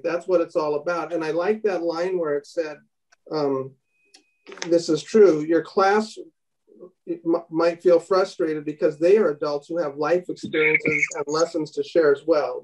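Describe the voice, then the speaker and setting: A man in his fifties leading a bible study on a video call, reading aloud from a book with halting restarts. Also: fairly high pitch, a little mellow, distant mic, poor audio. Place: home room indoors. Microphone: laptop webcam on a video call.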